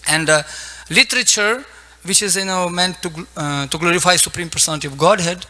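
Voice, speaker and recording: A man who is not a native English speaker gives a devotional sermon in short, halting phrases, searching for words and quoting scripture. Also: high-pitched, bright, forceful tone. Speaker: preacher giving a scripture lecture. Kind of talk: devotional sermon with Sanskrit quotations.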